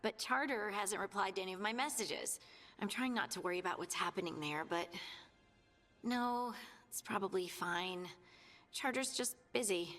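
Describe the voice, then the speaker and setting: A woman's voice: mid-range voice, normal speed, fairly bright tone, natural voice. A woman playing an earnest, anxious companion in a video game, often correcting herself as she talks. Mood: worried, self-reassuring. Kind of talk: video game dialogue acting. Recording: long reverberant hall, music underneath. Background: game music.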